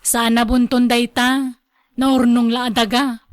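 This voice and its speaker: A woman's voice: a little high, level tone, unhurried, no room sound, natural voice. A woman playing a doubtful, plain-spoken wife in a radio drama, questioning and exclaiming. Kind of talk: Ilocano radio drama acting.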